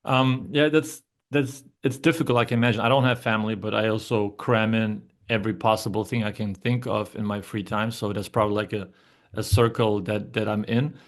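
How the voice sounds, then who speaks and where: A man's voice: fairly low pitch, steady tone, a little fast. Male podcast host, rambling questions. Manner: rambling questions with many restarts. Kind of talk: podcast interview.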